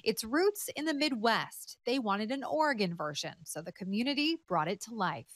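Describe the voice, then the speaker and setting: A woman in her thirties reporting a local news feature in a studio, with smooth scripted newscaster delivery. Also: on the high side, animated, normal speed, a little hiss or hum.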